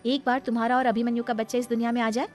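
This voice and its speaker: A woman's voice: fairly high pitch, level tone, very fast, soft background music, natural voice. A woman voicing a wronged young daughter-in-law in a cartoon family drama, earnest, indignant and dignified. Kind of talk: dramatised cartoon story dialogue.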